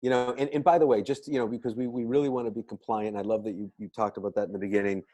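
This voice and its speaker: Low-voiced man in casual product talk. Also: steady tone, rapid-fire, mellow, no room sound.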